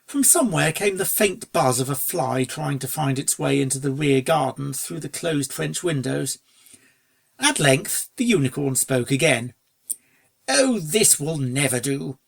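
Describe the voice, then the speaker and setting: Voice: mid-range voice, lively intonation, moderate pace, vocal fry. A narrator reading a story aloud in a scripted storybook style. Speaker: fan fiction reader.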